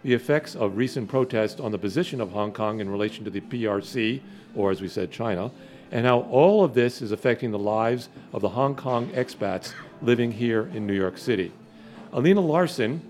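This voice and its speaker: The kind of halting American man you'd hear hosting an interview podcast from a neighborhood bar. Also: mid-range voice, darkish tone. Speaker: podcast co-host. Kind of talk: conversational interview.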